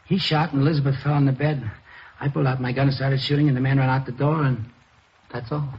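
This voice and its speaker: An actor playing a nervous, evasive husband under suspicion in a 1950s radio drama, stammering and repeating himself. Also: male voice, medium pitch, steady tone, quick pace, breathy edge. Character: nervous husband, murder suspect, evasive and increasingly frantic. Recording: dull noisy vintage radio recording.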